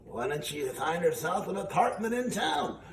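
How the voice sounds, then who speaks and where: A man's voice: a little high, sing-song, normal speed. A man voicing a cranky, folksy old male puppet sidekick who interrupts with contrary wisecracks in a ventriloquist comedy routine. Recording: roomy, distant mic, faint noise.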